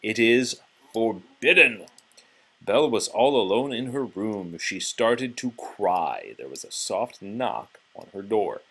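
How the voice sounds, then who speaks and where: Animated man reading a storybook aloud. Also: lowish voice, unhurried, few pauses, husky. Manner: expressive, prompting the child with questions. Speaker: storybook reader to a child. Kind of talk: children's read-aloud narration.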